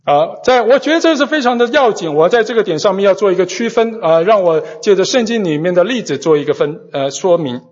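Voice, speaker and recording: A man's voice: high-pitched, lively intonation, quick pace, hardly any pauses. A man interpreting a sermon phrase by phrase, hesitating and restarting as he goes. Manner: hesitant, many fillers and restarts while interpreting. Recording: dull recording in a large echoing hall.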